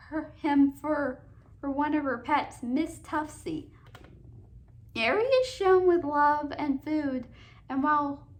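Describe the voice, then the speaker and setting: A woman hosting a casual commentary video, reading summaries aloud in a halting way, often stumbling and repeating words. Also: sits high. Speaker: host of a cartoon countdown video.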